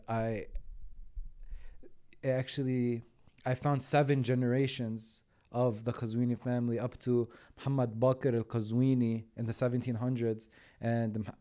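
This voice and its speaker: A man hosting a podcast, asking curious, halting questions in a casual interview. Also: mid-range voice, mellow. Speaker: podcast host. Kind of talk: conversational interview.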